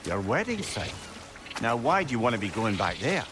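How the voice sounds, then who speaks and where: An actor playing a wry, eloquent companion and adviser in a video game, speaking. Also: male voice, mid-range voice, sing-song, moderate pace, over music, lightly acted. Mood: wry, curious. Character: wry, chatty, sociable male companion and adviser. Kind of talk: video game character acting.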